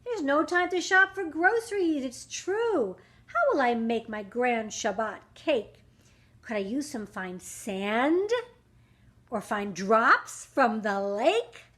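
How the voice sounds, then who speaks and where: Woman acting a scatterbrained male cricket, storybook character, eager, polite, high voice, swooping intonation. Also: animated, slow, fairly bright tone. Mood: puzzled. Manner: exclamatory, rhyming storybook delivery.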